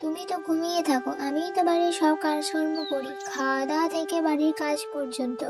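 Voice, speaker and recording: A woman voicing a gentle, wronged young stepdaughter in a cartoon moral story, earnest and pleading. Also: sits high, fairly even intonation, fast, music in the background, close to an everyday voice. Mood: protesting.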